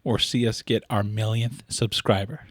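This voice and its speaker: A man's voice: fairly low pitch, level tone, moderate pace, no echo, studio quality. A young man co-hosting a podcast, crude and wisecracking in casual banter. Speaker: podcast co-host.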